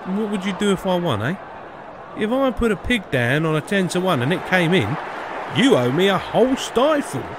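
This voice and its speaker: Comic fantasy peasant male actor: fairly high, animated voice, matey with an undercurrent of menace. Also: moderate pace. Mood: chummy. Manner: chummy, slyly threatening. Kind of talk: comic audio drama dialogue. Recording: noisy outdoor ambience.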